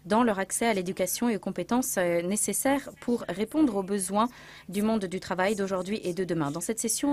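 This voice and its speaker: A woman delivering a formal prepared speech at a lectern in a large conference hall. She speaks in a measured way and reads from notes. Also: mid-range voice, darkish tone. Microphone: lectern microphones.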